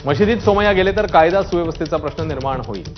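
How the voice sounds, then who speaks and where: A man's voice: a little high, very fast. A man from reading scripted TV news in an emphatic, dramatic way. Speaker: news anchor. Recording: dull broadcast audio with music underneath.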